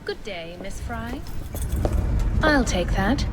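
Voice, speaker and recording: An actress playing a cold, arrogant Victorian villainess in a game, with an English accent and a haughty, deliberate, menacing delivery. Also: female voice, on the high side, lively intonation, very noisy. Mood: scornful. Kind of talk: dramatic video game cutscene acting.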